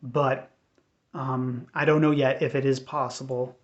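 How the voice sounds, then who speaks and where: Man, American accent, mid-pitched voice, measured and earnest, hesitant pauses, muffled lo-fi sound. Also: flat delivery. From United States. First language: English. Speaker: vlogger giving a personal update. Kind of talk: unscripted personal talk to camera. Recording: dull, lo-fi sound.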